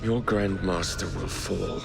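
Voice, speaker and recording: Casting: brash young game assassin, low gravelly voice, terse and cocky, loud music behind. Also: male voice, fairly even intonation, takes it slowly, full character voice. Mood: defiant. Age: young adult. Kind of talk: video game cutscene acting.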